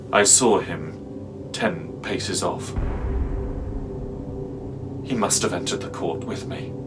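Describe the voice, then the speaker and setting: Slow, haunted male storyteller acted by a man. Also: lowish voice. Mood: hushed dread. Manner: deliberate, theatrical, halting with long pauses. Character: haunted, fearful man recounting a nightmarish pursuit. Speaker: actor, narrator. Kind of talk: dramatic performed reading of a classic horror tale.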